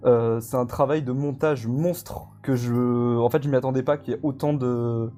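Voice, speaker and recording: Male interview guest, soft dark tone, informal halting delivery, faint music behind. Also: mid-range voice, steady tone. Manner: hesitant, informal, rambling. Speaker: guest, audio fiction creator. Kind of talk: casual live interview.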